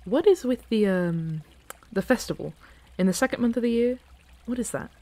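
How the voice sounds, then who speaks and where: A woman playing an earnest, playful dragon in human form in a fantasy ASMR roleplay, with a casual, teasing, halting delivery. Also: fairly high pitch, lively intonation, mellow, no echo. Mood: curious.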